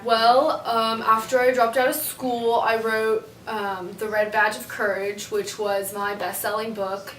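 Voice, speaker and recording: Girl playing a laid-back guy novelist, lots of ums, flat voice, far from the mic. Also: fairly high pitch, measured pace, few pauses, echoey room, slight background noise. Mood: casual. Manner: casual, rambling, many ums. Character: casual young male novelist guest. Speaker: student playing a war novelist. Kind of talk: school project mock talk show.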